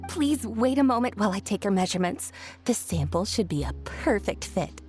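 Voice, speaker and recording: A woman's voice: medium pitch, sing-song, moderate pace, darkish tone, faint music behind, lightly acted. A woman voicing a friendly, polite female clothing shopkeeper in a game, courteous and coaxing. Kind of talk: game character acting.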